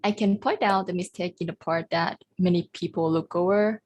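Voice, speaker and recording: A woman's voice: fairly low pitch, steady tone, moderate pace, a little mellow. A young woman university student giving earnest, practiced mock job interview answers in English over a video call. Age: young adult. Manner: earnest, practiced, listing points.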